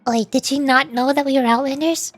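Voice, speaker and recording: A woman's voice: high voice, steady tone, fairly quick, dry recording, faint music behind. A woman streamer giving casual, playful, rambling commentary while she plays a video game.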